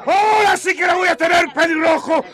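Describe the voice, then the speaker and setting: A man's voice: very high voice, a little fast, shouting, a loud crowd, very noisy. An actor playing a hot-headed, stubborn man who bellows insults defiantly in a radio drama. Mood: furious.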